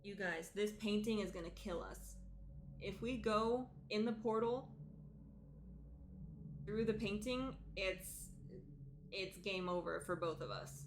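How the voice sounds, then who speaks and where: A woman doing casual let's-play commentary, expressive, dramatic and casually sweary as she talks through a game. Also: mid-range voice, slow pace, pauses often, background noise. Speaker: streamer playing and reading a visual novel aloud. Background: game music.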